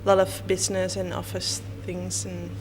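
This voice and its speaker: A woman's voice: lowish voice, monotone, moderate pace. Woman, hesitant, reverberant. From Netherlands. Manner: hesitant, lots of fillers. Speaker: interviewee. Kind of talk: street interview answer. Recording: reverberant with background noise.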